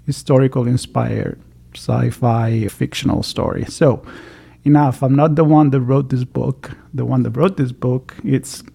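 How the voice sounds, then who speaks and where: A man's voice: medium pitch, fairly even intonation, unhurried, creaky, warm tone, breathy edge. A man hosting a podcast interview over a video call, talking in a rambling, chatty way with many restarts. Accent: Italian. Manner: rambling, with frequent restarts and fillers. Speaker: podcast host. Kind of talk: conversational interview.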